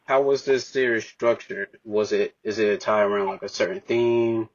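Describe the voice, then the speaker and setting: Low, even-voiced man interviewing. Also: moderate pace, dull audio, slight background noise. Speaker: podcast host, interviewer. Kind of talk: casual interview.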